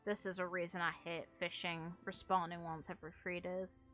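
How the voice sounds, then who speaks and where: A woman's voice: on the low side, flat delivery, moderate pace, a bit bright, soft background music. A woman doing casual, rambling gaming commentary in a frank, casually sweary way, like a streamer talking to viewers. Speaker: game streamer.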